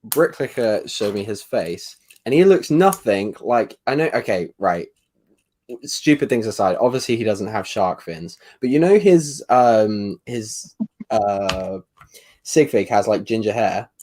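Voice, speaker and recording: Teasing young man, lively pitch. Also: unhurried. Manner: teasing, laughs often, dry banter. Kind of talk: casual chat on a live stream.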